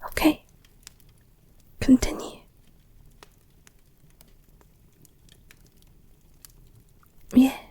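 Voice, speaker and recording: A woman playing a protective, guilt-ridden werewolf girlfriend in an intimate audio roleplay, speaking in a hushed, halting, soothing, breathy whisper. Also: high voice. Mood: gentle, hesitant.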